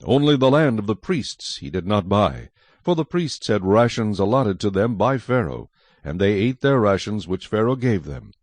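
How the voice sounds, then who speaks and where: A man narrating scripture from a script as a measured, dramatic storyteller. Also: fairly low pitch, sing-song, dry recording.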